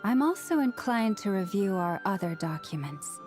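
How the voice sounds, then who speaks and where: A woman's voice: mid-range voice, very animated, darkish tone, close to an everyday voice. A woman as a composed, scholarly priestess. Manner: formal, precise, measured. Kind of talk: video game voice acting. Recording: music behind the voice. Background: background music.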